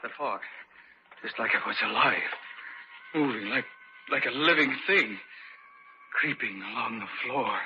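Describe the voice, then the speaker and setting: A man's voice: mid-range voice, unhurried, long pauses, loud music behind the voice, close to an everyday voice. An actor playing an uneasy, questioning man in an old-time radio horror drama, terse and incredulous. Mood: uneasy dread. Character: uneasy, questioning male companion in a horror tale. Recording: muffled, very noisy vintage radio recording.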